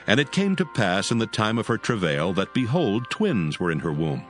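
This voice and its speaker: A man narrating an archaic scripture text in a measured, solemn reading. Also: fairly low pitch, very animated, soft background music.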